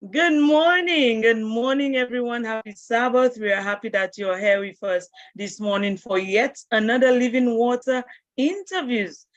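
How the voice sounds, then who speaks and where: A woman's voice: on the high side, moderate pace, no echo. A woman hosting an online interview and greeting her guest and audience over a video call. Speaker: interview host. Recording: dull dry video-call audio.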